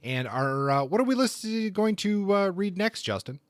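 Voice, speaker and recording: A man's voice: high voice, very animated, normal speed, a little hiss or hum. A man co-hosting a podcast, talking through book reviews in a conversational way. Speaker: podcast co-host.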